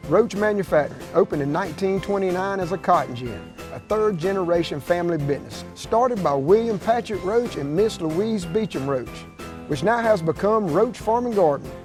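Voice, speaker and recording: A man's voice: high voice, expressive, normal speed, over music. A man reading a scripted local store ad in a folksy way, listing product after product. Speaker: radio-style ad announcer.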